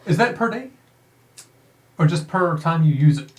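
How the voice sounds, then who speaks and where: A man's voice: fairly high pitch, lively intonation, moderate pace. A man playing a tabletop game, chatting casually with the group. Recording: clear background noise with clicks.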